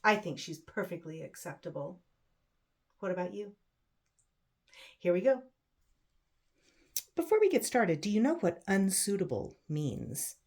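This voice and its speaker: A woman reads a children's picture book aloud, pausing to explain simply and put questions to her young listeners. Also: mid-range voice, sing-song, a little mellow, slightly rough. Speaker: read-aloud storyteller for children. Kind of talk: picture book read-aloud with chatty asides.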